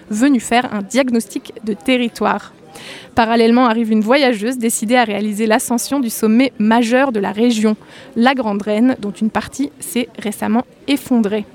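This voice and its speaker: A woman hosts festival radio and announces upcoming shows in a clear, composed manner. Her voice sits fairly high, with faint music underneath. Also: darkish tone.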